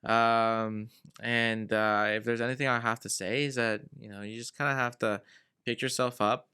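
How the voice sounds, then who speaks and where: A man's voice: medium pitch, level tone, moderate pace, pushed and bright, clean tone. A man from Venezuela, a guest in a casual conversation, talking in a laid-back way and giving earnest advice.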